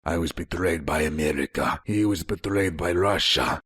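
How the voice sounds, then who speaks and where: A man's voice: low voice, moderate pace, fairly bright tone, slightly rough, no echo, studio quality. A man acting in a radio drama as a bitter, betrayed soldier with revolutionary ideals, intense and dramatic. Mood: indignant. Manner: intense, dramatic, swinging from murmur to outburst.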